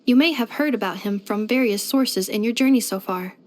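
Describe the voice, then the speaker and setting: A woman's voice: on the high side, natural voice. A woman voicing a polite, earnest female robot in a video game, speaking formally and precisely. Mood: measured, analytical. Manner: formal, precise, measured delivery with clinical machine-like phrasing. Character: polite female robot maid, earnest, gentle and literal-minded. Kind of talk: scripted video game character voice lines.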